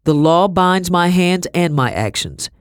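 A woman voicing a weary male colonel of colonial times, stiff and measured, in a storybook reading. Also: on the low side, expressive, dry recording, clean studio sound, voice pushed up. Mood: measured, firm.

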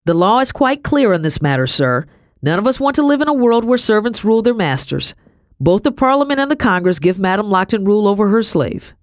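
A woman voicing a firm, formal colonial gentleman with a pompous manner in a storybook reading. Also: medium pitch, big pitch swings, fairly quick, no echo, clean studio sound, pitched above the performer's own voice, close to an everyday voice. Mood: insistent.

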